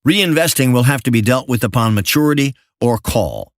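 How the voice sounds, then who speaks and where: Older man, mid-pitched voice, measured formal delivery, scripted finance narration, dry close sound. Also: animated, dry recording. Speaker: investment memo narrator.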